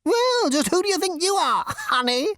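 A woman's voice: very high-pitched, big pitch swings, normal speed, fairly bright tone, no echo, studio quality, voice pushed up, full character voice. A woman voicing a petulant, haughty insect receptionist with tentacles and wings. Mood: flustered. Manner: icy, haughty, flouncing.

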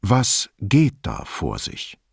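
A calm male investigator in an audiobook , played by a man reading aloud, with a creaky, gravelly edge. He questions quietly and patiently, measured and reserved, in a very dry sound. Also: fairly low pitch, no echo, exaggerated voice. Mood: patient, insistent. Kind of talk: audiobook character dialogue.

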